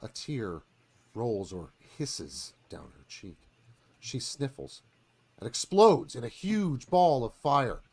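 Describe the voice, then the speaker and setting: A man's voice: mid-range voice, big pitch swings, takes it slowly, a little mellow, breathy edge. A man giving a dramatic scripted narration, reading stage directions as narrator.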